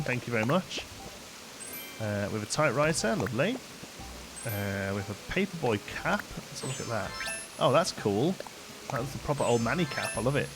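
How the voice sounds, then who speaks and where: Chatty male streamer, deep voice, game music underneath. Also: rough recording. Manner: chatty, reads out gift notes and thanks viewers. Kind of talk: casual live gameplay chat.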